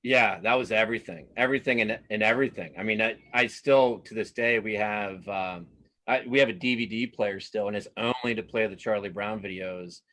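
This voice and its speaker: A man's voice: lowish voice, fairly quick, fairly bright tone. A middle-aged man chatting casually as an interview guest, enthusiastic and often repeating words for emphasis. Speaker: guest cartoonist and comics writer.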